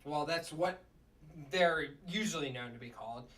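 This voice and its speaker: A man's voice: on the high side, normal speed, a bit bright, far from the mic, lightly acted. A man playing a short-tempered, bossy puppet district attorney in a sitcom table read, blustering and tripping over his words. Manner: blustering, stumbles and repeats words.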